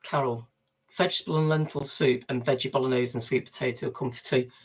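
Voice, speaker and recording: A man's voice: mid-range voice, fairly even intonation, a little fast, husky, poor audio. Chatty man with a Mancunian accent. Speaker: vlogger. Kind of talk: casual livestream chat. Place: inside a narrowboat.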